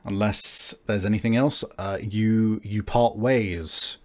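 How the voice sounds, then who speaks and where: A man narrating a tabletop game as game master, improvising haltingly as he searches for words. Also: fairly low pitch, steady tone.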